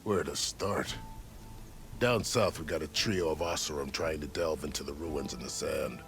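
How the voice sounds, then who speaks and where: A seasoned scout in a video game with an extremely low voice. He is folksy and plain-spoken, reporting what he has seen in a matter-of-fact way. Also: normal speed, fairly bright tone, faint music behind. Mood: informative, easygoing. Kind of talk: video game character acting.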